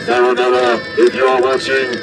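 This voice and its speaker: A man's voice: mid-range voice, monotone, moderate pace, loud music behind the voice, natural voice. A man playing a vengeful, obedient alien warrior officer with an intense, formal military manner in a science fiction audio drama. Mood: desperate.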